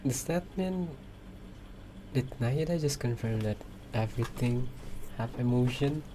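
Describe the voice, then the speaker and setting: A woman's voice: rumbling low, lively intonation, a little slow, background noise. A woman gaming streamer giving casual, hesitant commentary, musing aloud as she plays through a story quest. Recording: game music behind the voice.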